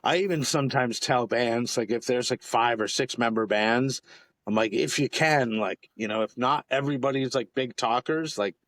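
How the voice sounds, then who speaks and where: A man's voice: medium pitch, moderate pace, a bit bright, no echo. A man in his fifties with an American accent, hosting a podcast in casual, rambling chat full of fillers. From Capital Region of New York. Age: 50s. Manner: rambling, filler-heavy storytelling with lots of laughs. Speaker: podcast host.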